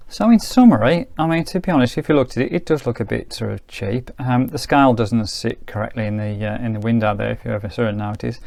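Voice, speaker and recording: A man's voice: mid-range voice, quick pace, creaky, hardly any pauses, a little mellow, dry recording. A man giving a casual hands-on gadget review, thinking aloud in an informal, hedging way. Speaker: product reviewer.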